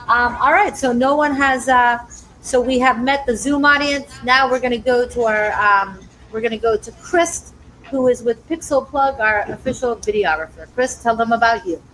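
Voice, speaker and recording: A woman's voice: a little high, moderate pace, fairly bright tone, slight background noise, low-quality recording. Upbeat woman hosting a live talk show. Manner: upbeat, chatty, addresses audience directly.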